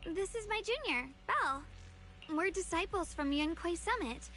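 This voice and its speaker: A woman voicing a kind, earnest young female disciple in a video game, gentle and polite. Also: very high-pitched, expressive, normal speed, a little hiss or hum, exaggerated voice. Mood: reassuring, kind.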